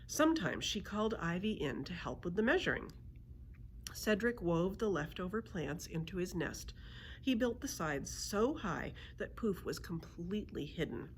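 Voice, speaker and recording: A woman's voice: on the low side, slight background noise. A woman narrating a children's book aloud as a librarian storyteller.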